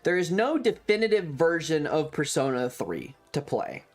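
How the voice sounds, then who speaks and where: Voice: mid-range voice, expressive, moderate pace. A video game streamer chatting casually in a rambling, blunt way that is peppered with swearing. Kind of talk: casual livestream commentary.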